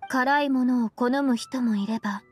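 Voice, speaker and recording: A woman voicing an aloof young woman in a game, reserved, solemn and earnest, with a slightly husky voice. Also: fairly high pitch, moderate pace, faint music behind. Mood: earnestly questioning. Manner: reserved, literal, flatly earnest. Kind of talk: restrained game voice acting.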